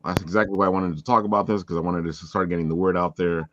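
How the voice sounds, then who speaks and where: A man's voice: little pitch movement, fast, fairly bright tone, slight background noise. A man hosting a casual interview show, chatting informally with his guest and the audience. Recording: dull, low-fidelity call audio.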